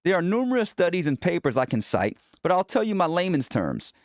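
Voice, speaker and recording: A man narrates a self-help audiobook as its author, in a slightly high voice. His pitch moves around a lot as he addresses the listener directly in a conversational, motivational style. The sound is dry, with no room echo. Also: sing-song, fairly quick. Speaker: author reading own book aloud.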